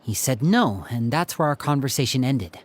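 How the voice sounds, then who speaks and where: Calm, earnest youth acted out, mid-pitched. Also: slight background noise, close to an everyday voice. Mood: reflective. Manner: measured, storytelling. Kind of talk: video game voice acting.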